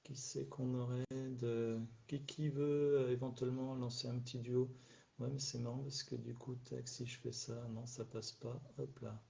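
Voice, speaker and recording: A man's voice: medium pitch, little pitch movement, moderate pace, creaky, warm tone. A middle-aged man hosting a casual live stream alone, rambling and hesitant, with many fillers and restarts, a little stuffy after a cold. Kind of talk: casual solo live chat. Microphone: phone or webcam on a live stream.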